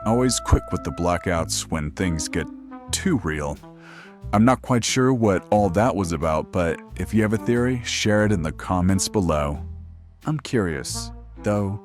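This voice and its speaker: An actor playing a commanding late-night radio host with a dry, sardonic manner in a horror audio drama. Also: male voice, low-pitched, animated, a little slow. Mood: dry, composed. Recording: music behind the voice.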